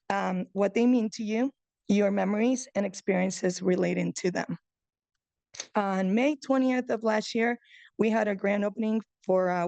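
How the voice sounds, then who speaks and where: A woman in her forties, originally from Chile, speaks at a breakfast meeting, reading haltingly from her phone. Her mid-range voice has a gravelly edge, and she fills the gaps with ums and uhs. The sound is dry and close. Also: medium pitch, a little mellow, no echo. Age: 40s. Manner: hesitant, many ums and uhs, reading from notes. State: nervous. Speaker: gallery owner giving a talk. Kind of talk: nervous public speech read from a phone.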